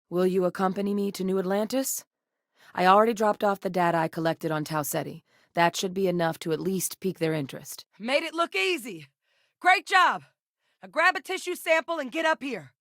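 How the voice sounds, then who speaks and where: Woman acting as female sci-fi game commander, low voice, earnest, measured, serious, articulate, dry close sound. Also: dry recording, close to an everyday voice. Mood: serious, businesslike. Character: earnest, composed female military scientist and commander. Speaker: voice actress.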